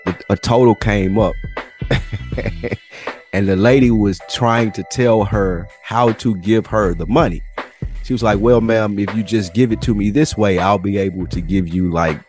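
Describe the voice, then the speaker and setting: A man co-hosting a podcast, telling slangy, animated stories in casual chat. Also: low voice. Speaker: podcast co-host. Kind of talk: casual storytelling chat between friends.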